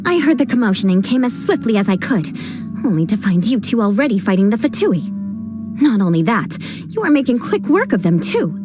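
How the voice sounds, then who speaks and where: A woman's voice: medium pitch, very animated, a little fast, natural voice. An actress playing a proud young noblewoman knight captain who talks with dry haughtiness and vows vengeance over every little slight. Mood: cool. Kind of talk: video game voice acting. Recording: game dialogue with background music.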